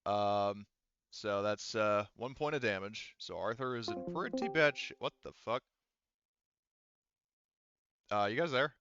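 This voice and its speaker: A man's voice: lowish voice, measured pace, pauses often, fairly bright tone, clear, resonant tone, no room sound, dull audio. A man acting as game master, narrating a tabletop game off the cuff in a casual way with some swearing. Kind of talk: improvised tabletop game narration over voice chat.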